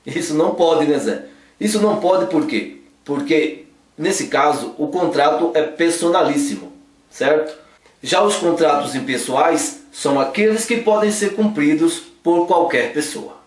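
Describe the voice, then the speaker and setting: A man's voice: a little high, animated, measured pace. A man from the Northeast, teaching law in a playful, joking way and teasing a sidekick. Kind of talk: joking casual law lesson. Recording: roomy echo, a bit far from the mic.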